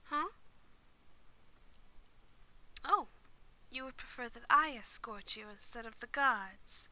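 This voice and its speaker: A woman playing an excitable, devoted vampire lady's maid in a roleplay audio drama, speaking formally and chattily to the listener. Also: fairly high pitch, measured pace. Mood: excited, proud. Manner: polite, formal, eager to please, chatty.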